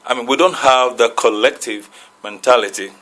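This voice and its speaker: Bright, hesitant reverend. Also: male voice, medium pitch. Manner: halting, searching for words. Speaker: reverend asking a panel question. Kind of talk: radio panel discussion.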